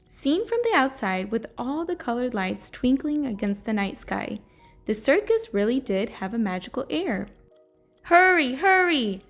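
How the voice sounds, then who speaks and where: A woman reading a children's story aloud in an expressive, storytelling manner. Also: a little high, normal speed. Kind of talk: children's read-aloud narration. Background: soft music.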